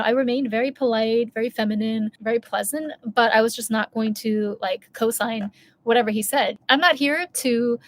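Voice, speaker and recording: A woman, telling a long candid story in a casual vlog, full of asides and self-corrections. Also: fairly high pitch. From United States. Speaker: vlogger.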